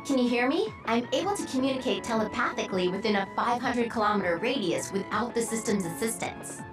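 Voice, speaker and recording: A woman's voice: fairly high pitch, expressive, brisk, natural voice. A woman playing a friendly, caring young military operator in an anime game, informal and reassuring. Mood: instructing. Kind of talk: English anime-game voice acting. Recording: music behind the voice.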